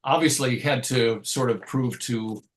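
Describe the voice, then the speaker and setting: Middle aged guy in an interview, medium pitch, thoughtful and well spoken, kinda self-deprecating. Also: moderate pace. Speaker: interview guest, writer and radio show host. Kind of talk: reflective conversational interview.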